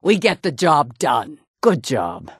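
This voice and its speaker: Gruff female orc fighter, game voice lines, raspy and slow, curt and menacing. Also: low voice, sing-song, dry recording, studio quality, heavily acted. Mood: blunt, curt. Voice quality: gravelly, husky. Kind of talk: video game character voice lines.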